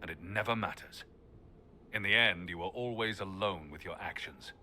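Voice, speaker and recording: A man's voice: low-pitched, normal speed, sharp tone, slight background noise. A man playing a grim, bitter, blunt hero in a fantasy game. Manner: blunt, brooding. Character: grim, bitter male hero, hard on mages. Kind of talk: fantasy game dialogue acting.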